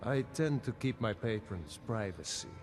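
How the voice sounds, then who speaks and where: A man playing a guarded but obliging innkeeper in a video game, speaking in a folksy, unhurried way. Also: fairly low pitch, animated, a little mellow, faint music behind, natural voice. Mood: coy, obliging. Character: male innkeeper, guarded but bribable, easygoing. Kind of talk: scripted video game voice acting.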